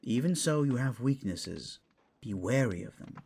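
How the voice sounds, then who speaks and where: A man's voice: mid-range voice, measured pace, vocal fry, husky, exaggerated voice. A man voicing a wise, playful raccoon librarian who gives avuncular advice in an audio fantasy drama. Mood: concerned, advising. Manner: animated, avuncular, advising.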